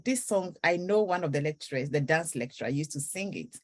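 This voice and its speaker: A woman's voice: low voice, expressive, brisk. A woman author from Ghana, telling her story earnestly in a faith interview. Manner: earnest storytelling, breaks into song.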